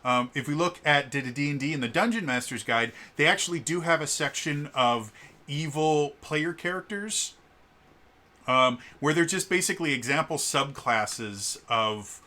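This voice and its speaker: Deep-voiced young man, bright edge, casual reviewer who stammers and fills with "um". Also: normal speed. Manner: stuttering restarts on words, many fillers. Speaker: tabletop RPG reviewer.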